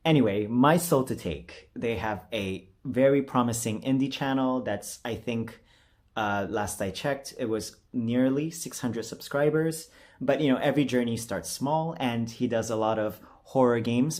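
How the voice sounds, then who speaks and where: A man chatting casually as a video creator, rambling in a jokey, self-deprecating way. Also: mid-range voice. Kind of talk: casual rambling vlog chat.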